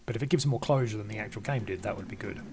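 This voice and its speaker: Low-voiced older man commenting on a game. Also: rapid-fire, noisy background. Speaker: let's-play commentator. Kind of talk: casual gameplay commentary.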